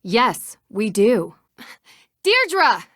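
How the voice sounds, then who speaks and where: A determined young woman sleuth from a mystery game acted by a woman. She speaks in a high, firm, probing voice, recorded very dry. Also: animated, normal speed, no echo, close to an everyday voice. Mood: urgent. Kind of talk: video game voice acting.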